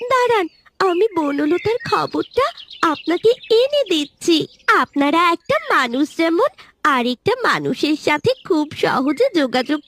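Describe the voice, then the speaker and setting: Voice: extremely high pitch, a bit bright, a little hiss or hum, full character voice. A performer voicing a caring, eager, childlike talking tree in a cartoon fairy tale.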